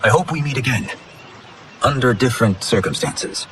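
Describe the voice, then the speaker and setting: A man's voice: medium pitch, expressive, normal speed, a bit bright, recorded from a distance, a little hiss or hum, lightly acted, rough recording. A man voicing a polite, composed young champion fighter in a video game. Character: calm, humble young male champion fighter. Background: game music.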